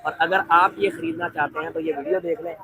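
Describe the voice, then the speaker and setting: Hoarse male vlogger in a busy shop. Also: a little high, steady tone, quick pace, crowd noise. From Pakistan. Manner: chatty, interviewing shopkeepers and customers. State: sore throat. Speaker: vlogger host. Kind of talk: casual shop tour vlog. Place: busy dry fruit shop.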